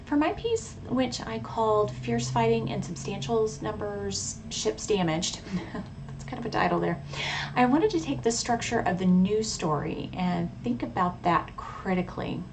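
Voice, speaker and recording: A thoughtful, measured woman speaking as a hobbyist vlogger. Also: mid-range voice, expressive. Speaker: radio hobbyist vlogger and poet. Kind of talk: casual informative vlog talk with poetry reading. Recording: clearly audible background noise.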